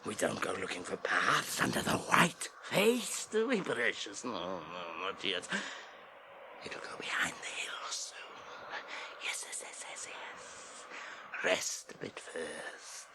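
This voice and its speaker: An actor playing a wretched, sly, fawning creature in a radio drama, repeating words and muttering to himself in a rasping voice. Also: male voice, sits low, sing-song, slow, full character voice. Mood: sly, whining. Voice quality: rasping, croaking, swooping into shrieks and whispers. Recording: noisy old radio drama recording.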